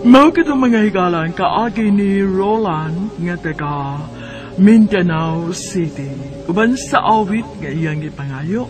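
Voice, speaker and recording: A man as the radio host, closing the drama in a measured announcing manner. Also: sits high, animated. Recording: music playing underneath. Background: music.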